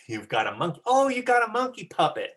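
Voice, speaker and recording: A woman's voice: medium pitch, huge pitch swings, normal speed, a bit bright, breathy edge. A woman teacher chatting casually as she hosts an online class on a video call, encouraging and often repeating back what others say.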